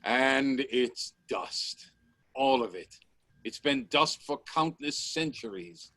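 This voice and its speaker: A man's voice: mid-range voice, expressive, slow pace, sharp tone, no room sound. A man acting a bold, urgent professor and inventor in a radio play, with a commanding, emphatic delivery. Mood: despairing.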